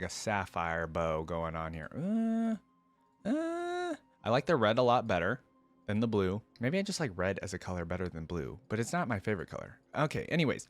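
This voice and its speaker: Male reaction host, lowish pitch, informal and chatty, repeats himself for emphasis, very dry recording with no room sound. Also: no room sound. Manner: informal, slangy, addresses viewers as guys. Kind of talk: casual video game reaction commentary.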